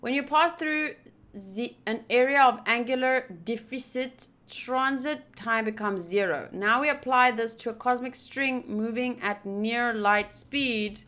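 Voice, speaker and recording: This is a woman playing through a visual novel for viewers. Her voice sits fairly high and has a bright, forward edge. She reads the text with expression and slips into informal, wry commentary. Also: measured pace. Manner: expressive reading, breaking off into wry informal asides. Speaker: gaming video host. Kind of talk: visual novel playthrough, reading text aloud with casual commentary.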